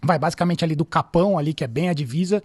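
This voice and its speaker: A man in his thirties with a São Paulo accent, a police captain calmly answering interview questions about his career. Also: fairly high pitch, breathy edge. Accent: Paulistano Brazilian Portuguese. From São Paulo, Brazil. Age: 30s. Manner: calm, measured, storytelling. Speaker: military police captain, guest.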